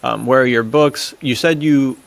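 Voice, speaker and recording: A man's voice: mid-range voice, normal speed, vocal fry. A man hosting a podcast in a casual, chatty style. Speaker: podcast host. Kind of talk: casual chat.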